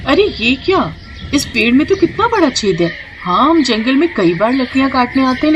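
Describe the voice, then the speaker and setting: A woman voicing poor, resourceful young village daughters-in-law in an animated moral story, expressive and full of exclamations. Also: a little high, muffled recording, background noise, natural voice.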